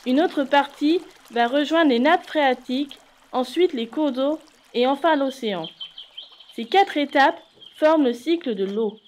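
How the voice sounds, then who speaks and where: A woman's voice: high voice, a little slow, darkish tone, a little hiss or hum. A woman reading scripted educational narration for children, explaining things and addressing the viewers directly.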